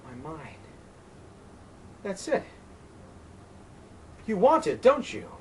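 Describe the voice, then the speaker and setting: A man's voice: animated, normal speed, recorded from a distance, close to an everyday voice. A man voicing a frantic, terrified man who rages and pleads against the wind. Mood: feverish. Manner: shrill, shouting, panicked.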